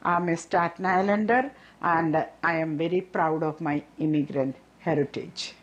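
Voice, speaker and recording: A woman's voice: low voice, moderate pace, muffled recording. An older woman from south India with an Indian accent, speaking plainly and directly in documentary testimony.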